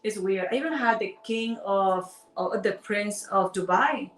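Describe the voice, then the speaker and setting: A woman's voice: mid-range voice, measured pace, distant mic. A woman from Ecuador, co-hosting a casual livestream and chatting affectionately with viewers. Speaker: livestream co-host. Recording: echoey room, voice off-mic.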